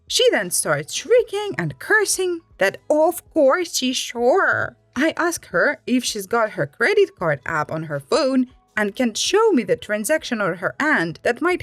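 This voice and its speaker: A woman narrates stories in an informal, expressive storytime style, with a fairly high voice that rises and falls a lot. The recording is close and dry, and she sounds like an animated host talking straight to listeners. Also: no room sound. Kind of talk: expressive storytime read-aloud with personal reactions. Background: background music.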